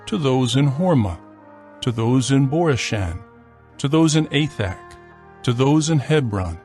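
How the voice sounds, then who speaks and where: A man narrating scripture from a script in a solemn, measured storytelling manner. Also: medium pitch, lively intonation, a little mellow, faint music behind.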